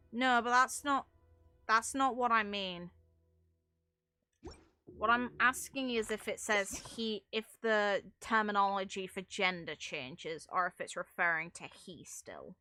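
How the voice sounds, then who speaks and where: Gamer girl streaming, bright voice, casual rambly chat, bit sweary, music playing behind her. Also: medium pitch, unhurried, smooth. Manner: rambling, wry, mildly sweary. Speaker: game streamer. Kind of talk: casual live stream chat while playing a video game. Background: game music.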